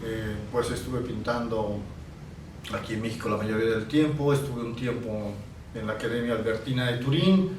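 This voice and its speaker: A man in his sixties, a painter born in Italy, recalling his past in an interview and often pausing to find his words. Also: fairly low pitch, a little mellow, slight background noise. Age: 60s. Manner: reflective, pausing to search for words. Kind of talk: reminiscing in an interview. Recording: roomy, distant mic with faint ambience.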